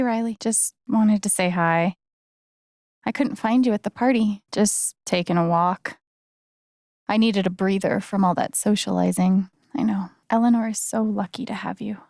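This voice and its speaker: A woman's voice: mid-range voice, creaky, a little mellow, no room sound, studio quality, close to an everyday voice. An actress playing an earnest, caring and determined young woman in naturalistic, conversational video game dialogue. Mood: warm, encouraging. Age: young adult.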